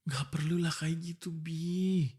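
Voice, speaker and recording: A man's voice: fairly high pitch, steady tone, slow pace, darkish tone, slightly rough. A man acting an exasperated, protective young fiancé in a boyfriend ASMR roleplay, pleading and scolding informally. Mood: annoyed, suspicious. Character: exasperated, protective young fiancé arguing before his wedding. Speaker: voice actor. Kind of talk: boyfriend ASMR roleplay, one-sided dialogue.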